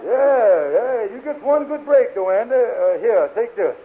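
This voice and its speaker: An actor playing a scheming, blustering small-time swindler with a wheedling, hesitant manner in 1940s radio comedy. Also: male voice, high voice, expressive, a little slow, slightly rough, heavily acted. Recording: tinny, hissy old radio recording.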